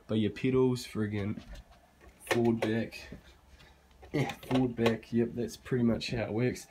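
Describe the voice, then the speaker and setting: Laid-back young man, slightly hoarse. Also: medium pitch, darkish tone, slight background noise. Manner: laid-back, swears casually, rambling asides. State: losing his voice, clearing throat. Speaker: gaming channel host. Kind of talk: casual room tour vlog. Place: bedroom gaming setup. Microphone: handheld phone camera.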